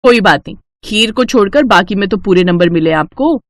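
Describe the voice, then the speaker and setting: A woman's voice: medium pitch, lively intonation, a little fast, dry recording, clean studio sound, natural voice. A woman voicing a playful young sister-in-law in a family storybook tale, chirpy, informal and teasing. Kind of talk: acted Hindi family storybook dialogue.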